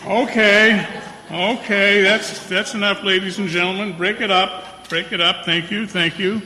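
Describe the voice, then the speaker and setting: A man playing an excitable, blustering department store boss in an old-time radio play, emphatic and sputtering. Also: sits high, unhurried, heavily acted. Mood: brisk, hearty. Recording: reverberant hall.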